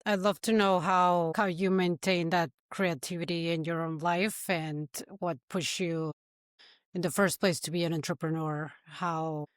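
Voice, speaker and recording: A woman hosting a podcast interview, opening with a measured scripted introduction. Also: lowish voice, steady tone, dry recording, studio quality. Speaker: podcast host.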